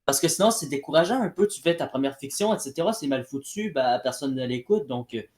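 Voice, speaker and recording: A man's voice: medium pitch, animated, brisk, darkish tone. A man talking colloquially and bluntly in a casual, unscripted debate. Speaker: podcast debate participant. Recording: echoey, roomy sound.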